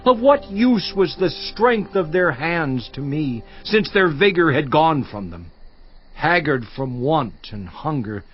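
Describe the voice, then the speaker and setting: An actor playing a suffering, once-honored biblical patriarch in a dramatized scripture monologue, theatrical and declamatory with long pauses. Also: male voice, fairly high pitch, sing-song, highs cut off, faint music behind. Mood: bitter scorn. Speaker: actor in a dramatized audio Bible.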